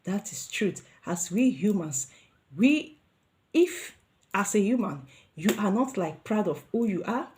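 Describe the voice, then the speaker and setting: A woman's voice: mid-range voice, lively intonation, measured pace, a little mellow. A middle-aged Nigerian woman giving an earnest motivational talk to her viewers, repeating herself with many fillers and restarts. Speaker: vlogger.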